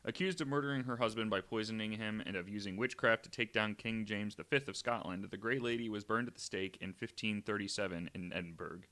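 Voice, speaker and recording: A young adult man hosting a podcast, reading from notes with frequent "uh" fillers and adding wry, deadpan asides. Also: lowish voice. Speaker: podcast host.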